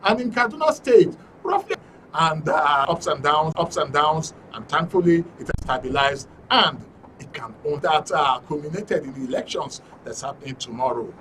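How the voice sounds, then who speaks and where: A Nigerian man hosting a live studio panel show, introducing things haltingly and repeating phrases. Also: fairly high pitch, expressive, a bit bright. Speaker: radio and TV programme host. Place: radio and TV studio. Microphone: studio microphone. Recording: faint noise, distant studio mic.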